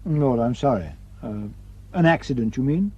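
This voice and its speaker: A man's voice: mid-range voice, lively intonation, normal speed, a little mellow, slightly rough, lo-fi sound. A man acting a courteous, inquisitive police superintendent in a radio drama.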